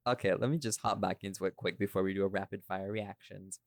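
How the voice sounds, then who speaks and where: Low-voiced man, emphatic podcast host. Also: fairly even intonation, quick pace, background noise. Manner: emphatic, addresses listeners directly. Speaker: podcast host and audio drama creator. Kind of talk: casual podcast talk, explaining creative choices.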